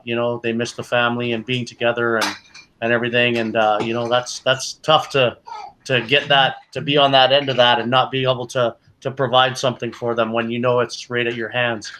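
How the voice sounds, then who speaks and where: A man with a bright, forceful voice at an average pitch talks casually. He rambles earnestly, circling back and repeating his phrases as he goes. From Canada. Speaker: jiu-jitsu coach. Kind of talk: casual chat.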